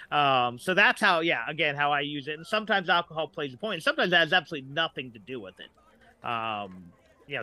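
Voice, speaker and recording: A middle-aged man talking casually as a podcast guest, a writer who haltingly searches for examples as he goes. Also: medium pitch, sharp tone, dry recording.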